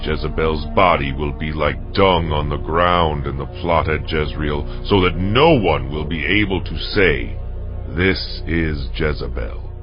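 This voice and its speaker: A man's voice: rumbling low, a little slow. An actor playing a fierce, commanding ancient warrior king with a stern, declamatory delivery in a dramatized scripture audio drama. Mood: grim, solemn. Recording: dull muffled audio under music.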